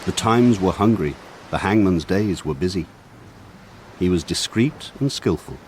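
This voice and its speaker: An actor playing a grown man looking back on his village childhood, narrating in a measured, lyrical way. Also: deep voice, lively intonation, darkish tone, slight background noise. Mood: grave. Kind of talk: scripted literary narration for radio drama.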